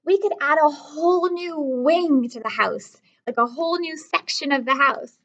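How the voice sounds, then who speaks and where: A woman voicing a persuasive, self-important schoolboy in a storybook read-aloud, pleading with emphatic, drawn-out words. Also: high voice, a bit bright, lo-fi sound. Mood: excited. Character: persuasive, self-important school-age boy writing to his dad.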